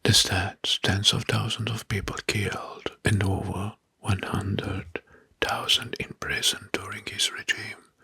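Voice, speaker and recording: A man whispering an ASMR history narration in a breathy, mostly unvoiced voice, pausing between short phrases and often repeating words. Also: fairly low pitch, little pitch movement, sharp tone, dry recording. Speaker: ASMR host presenting history facts. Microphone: close microphone.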